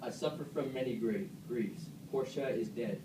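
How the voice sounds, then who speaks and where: A man's voice: medium pitch, moderate pace, lightly acted. Man as stern Roman leader, distant and echoey. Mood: commanding. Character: Brutus, stern, self-righteous Roman leader. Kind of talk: amateur puppet-show Shakespeare parody. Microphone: distant camera microphone. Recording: echoey noisy room, far from mic.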